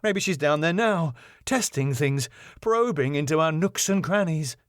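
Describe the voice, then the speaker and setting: A man's voice: a little high, lively intonation, unhurried, no echo, pitched above the performer's own voice. A fussy sitcom club manager, acted out by a man, pompous and easily panicked. He exclaims primly in a raised, indignant voice, recorded close and dry. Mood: anxious, suspicious. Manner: flustered, indignant, prim exclamations.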